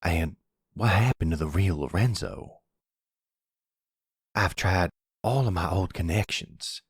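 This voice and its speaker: Man acting a husky, deep-voiced older mafia gentleman. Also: takes it slowly, no room sound, clean studio sound, exaggerated voice. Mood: concerned, steady. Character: older mafia silver fox, protective, calm grandfather. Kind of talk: ASMR boyfriend roleplay acting.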